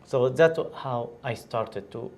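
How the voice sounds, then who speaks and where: A man's voice: mid-range voice, takes it slowly, a little mellow, a little hiss or hum. A man from Syria, a physician, answering interview questions in English with many fillers and restarts. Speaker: guest, physician.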